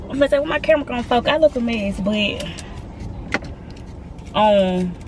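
A woman of twenty-one talking casually and excitedly to her camera from inside a car, swearing freely. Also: mid-range voice, unhurried, noisy background. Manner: chatty, profane, excitable. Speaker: vlogger. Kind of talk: casual vlog chat to camera. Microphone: handheld camera at arm's length.

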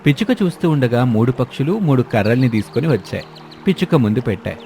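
A man's voice: mid-range voice, animated, fast talker, dark tone, faint music behind. A man narrating a scripted children's story as the storyteller.

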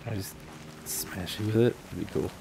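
A man's voice: lowish voice, steady tone, fairly quick, creaky. A man hosting a let's play with casual, offhand, wry gameplay commentary. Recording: game ambience noise behind voice. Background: video game outdoor ambience.

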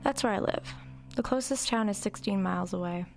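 A woman's voice: medium pitch, fairly quick, vocal fry, over music, natural voice. A woman playing a wry, reflective narrator recalling her troubled girlhood in a confiding first-person dramatic narration.

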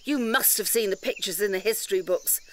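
An actress playing an indignant, articulate defender of a nature sanctuary in an audio drama, challenging and emphatic. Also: female voice, medium pitch, fairly quick, slight background noise, natural voice. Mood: impassioned.

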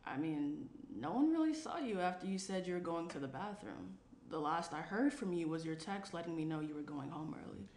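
A woman's voice: lowish voice, a little fast, hardly any pauses. A young woman playing a frank, playful and caring best friend in a naturalistic screenplay table read. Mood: concerned. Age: young adult. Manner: animated, candid, chatty. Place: small indoor room around a table. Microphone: shared tabletop microphone. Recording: roomy tabletop pickup, faint noise.

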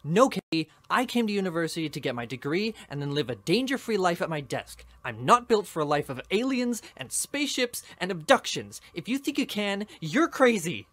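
Man playing a fed-up student, lively pitch. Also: brisk, hardly any pauses, no room sound, full character voice. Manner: exasperated, insistent. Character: fed-up, fearful young male university student. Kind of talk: sci-fi audio drama acting.

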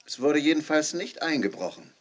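A man's voice: a little high, big pitch swings, a little fast. A man playing a cautious, good-humored police officer in a children's radio play, matter-of-fact. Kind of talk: 1980s German children's radio play acting. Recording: noisy old cassette radio play.

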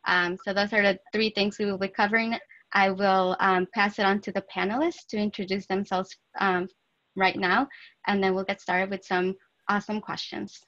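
A woman's voice: mid-range voice, flat delivery, moderate pace, a bit bright. A woman moderating a webinar panel, upbeat and introducing the questions. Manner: upbeat, says "awesome" often. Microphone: video call. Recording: muffled video call audio.

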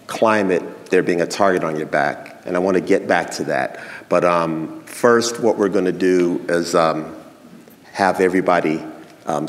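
A man's voice: deep voice, big-room sound. A middle-aged man moderating a live conference panel on stage, talking in a friendly, rambling way full of "um" fillers.

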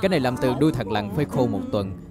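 A man playing a gruff, aloof young dinosaur hunter in a Vietnamese cartoon dub, curt and scornful. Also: mid-range voice, animated, rapid-fire, over loud music, close to an everyday voice. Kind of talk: Vietnamese-dubbed cartoon acting.

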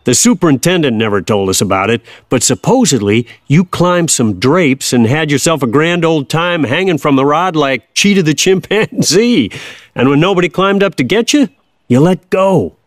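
A man's voice: fairly high pitch, animated, moderate pace, no room sound, studio quality, natural voice. An actor playing an older Kansas farmer father, plainspoken, folksy and patiently wry, in an audio drama.

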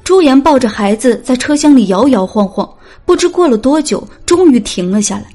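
High-voiced woman narrating an audiobook. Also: expressive, fairly quick, warm tone, soft background music. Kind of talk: scripted dramatic story narration.

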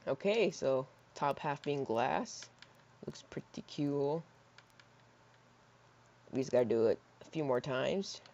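A hoarse young man in his teens rambling casually while playing a building game, with lots of fillers and soft mutters. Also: medium pitch, takes it slowly, long pauses, slight background noise. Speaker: gaming YouTuber. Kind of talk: casual gameplay commentary. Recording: slightly dull lo-fi mic.

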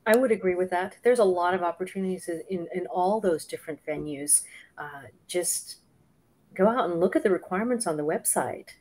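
Middle-aged woman, slightly low voice. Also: a little fast, a little mellow. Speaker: panelist giving advice. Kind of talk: conversational explanation. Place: home office, on a video call.

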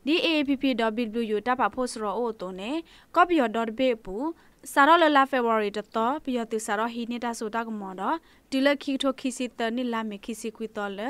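A woman newsreader with a fairly high voice reads a scripted radio report. The recording is dry and close, with no room around the voice. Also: no echo. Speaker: news reader. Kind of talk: scripted radio news reading.

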